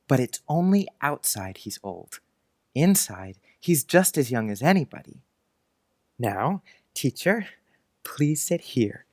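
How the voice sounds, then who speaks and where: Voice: mid-range voice, no room sound, clean studio sound. An actor playing an earnest, precocious young schoolboy who chats dreamily in quaint grown-up phrases, in a dramatized audiobook. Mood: fond, chatty. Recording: music under the voice.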